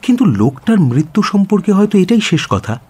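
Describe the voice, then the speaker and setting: A man playing an earnest detective's companion, narrating an audio story in an emphatic, expressive way. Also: sits high, brisk, breathy edge, heavily acted. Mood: curious. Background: music.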